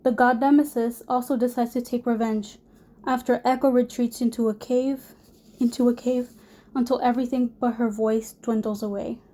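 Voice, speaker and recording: A young woman, hoarse from a cold, gives a class presentation in a mellow, fairly high voice. She reads from prepared notes and restarts a word now and then. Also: fairly even intonation, normal speed. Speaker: student presenter.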